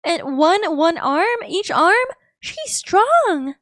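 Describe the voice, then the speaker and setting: A woman's voice: very high-pitched, very animated, extremely slow, a bit bright. A woman game streamer giving chatty, exclamatory live reactions in a casual style.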